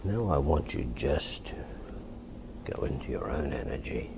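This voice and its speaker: A low, deep Australian male voice with the calm of a working hypnotist. He speaks softly and deliberately, repeating himself as he instructs. Clear background noise sits behind him. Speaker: hypnotist teaching and demonstrating.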